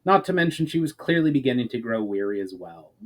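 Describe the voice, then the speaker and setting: A man's voice: medium pitch, very animated, fast. Man giving a dramatic story reading. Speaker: writer reading own fantasy chapter. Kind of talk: dramatic audiobook-style narration.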